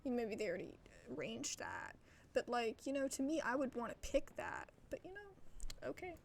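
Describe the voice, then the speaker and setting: High, creaky-voiced woman streamer. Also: big pitch swings, moderate pace, a little hiss or hum. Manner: chatty, informal, thinking aloud. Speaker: game streamer. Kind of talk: casual let's-play commentary.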